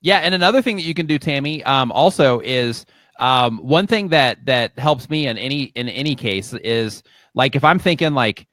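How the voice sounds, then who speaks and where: A man co-hosting a live show, chatting casually in a halting, rambling way with repeated words. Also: mid-range voice, lively intonation, fairly bright tone, dry recording. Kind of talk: casual, rambling advice chat.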